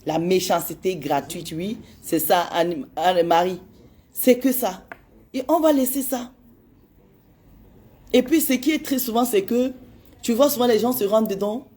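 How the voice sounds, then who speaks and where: A woman in her forties talking to her followers with a warm, mellow tone. She speaks with heated urgency and pleads with them, hammering the same phrases again and again. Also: mid-range voice, unhurried. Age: 40s. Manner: impassioned and pleading, repeats phrases. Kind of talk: direct address to followers on social media.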